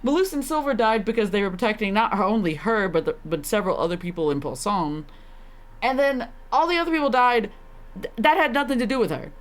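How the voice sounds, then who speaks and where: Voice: mid-range voice, expressive, fairly quick. A game streamer giving casual live commentary, talking in an incredulous, slangy way with plenty of swearing.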